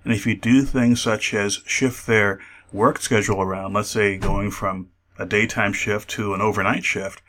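Man, lowish pitch, expert interviewee, measured explanatory delivery, careful phrasing. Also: fairly even intonation, fairly bright tone. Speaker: aviation expert, interview guest.

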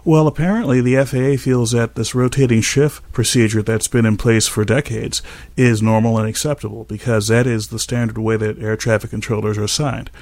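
A man speaking as an expert host in a measured, explanatory way. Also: mid-range voice, steady tone, a little mellow, dry recording. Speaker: aviation safety expert and podcast host. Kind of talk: scripted narration and expert interview answers.

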